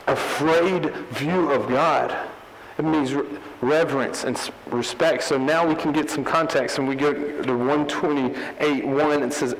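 A man delivers a teaching sermon in an earnest, explanatory way, sometimes restarting a phrase mid-thought. His voice sits a little high for a man. A faint long reverb and light background noise hang around him. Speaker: pastor.

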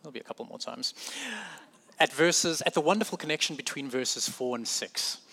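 A man's voice: mid-range voice, brisk, vocal fry, sharp tone, breathy edge. A man with a South African accent preaching a sermon in a conversational way, correcting himself and adding light humorous asides.

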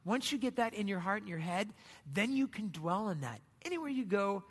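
High-voiced man preaching in a reverberant hall. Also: expressive, fairly quick. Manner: informal, explanatory, often checks in with "right?" and "you know". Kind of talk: sermon, conversational teaching.

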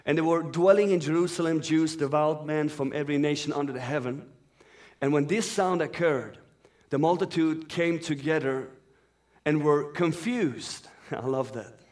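A man's voice: fairly high pitch, normal speed, darkish tone. A man born in Iran and raised in Sweden, whose first language is Farsi, preaching a sermon as a guest speaker and reading scripture in an earnest, flowing way.

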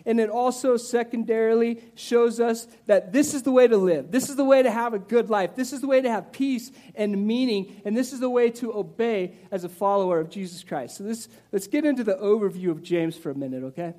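A man in his forties preaching a sermon in a conversational, animated way, with asides to the listeners and some restarts. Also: a little fast, few pauses. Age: 40s. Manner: animated, conversational preaching with rises in pitch and volume. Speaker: pastor.

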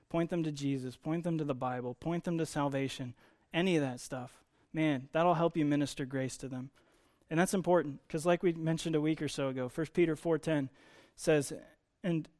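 An American man with a voice a little higher than average speaks as a Bible teacher. He explains scripture in an informal, conversational way. Key phrases get slower, deliberate emphasis. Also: darkish tone.

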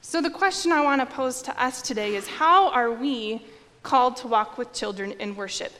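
A young woman pastor from Iowa delivers a sermon in an earnest, measured storytelling manner. Her voice sits a little high. The church around her adds a long, lingering reverb. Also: a bit bright. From Iowa, United States. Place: church sanctuary.

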